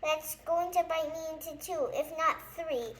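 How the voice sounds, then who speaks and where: A woman voicing a jittery, easily terrified little man, crying out in shrill breathless panic. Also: normal speed, slight background noise, poor audio. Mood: panicky.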